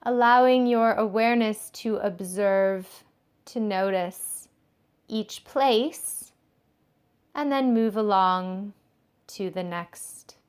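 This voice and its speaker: A woman giving guided yoga nidra instruction in a soothing, measured, gently instructive way. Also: medium pitch, clean tone.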